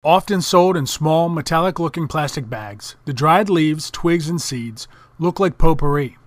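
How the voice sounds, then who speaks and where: Higher-pitched male narrator, dry sound. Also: lively intonation, measured pace, no room sound. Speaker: documentary narrator. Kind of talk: scripted narration for a military training film.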